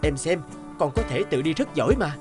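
A patient, firm cartoon father, played by a man, who reasons earnestly. His pitch rises and falls expressively. Music plays underneath. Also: lively intonation, fairly quick, natural voice. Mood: firm advising. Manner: earnest, explanatory. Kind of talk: cartoon voice acting. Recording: music behind the voice.

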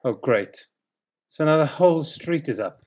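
A man's voice: fairly high pitch, expressive, measured pace, darkish tone. British male as a grumpy, sleepless husband played by a man in spoken-word verse; sarcastic, exasperated, sceptical. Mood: sarcastic grumbling.

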